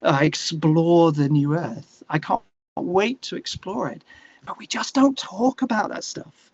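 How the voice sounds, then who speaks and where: Guy chatting in an interview, voice a bit high, thinks out loud, opinionated, kinda muffled recording. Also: lively intonation, a little slow. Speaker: interview guest, musician and songwriter. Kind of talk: casual conversational interview.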